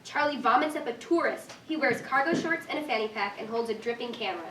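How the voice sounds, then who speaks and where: Distant mic, roomy echo, woman narrator, scripted stage directions, fairly high voice. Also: animated, quick pace, a bit bright. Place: room with live reading. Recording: echoey room, distant mic, faint noise.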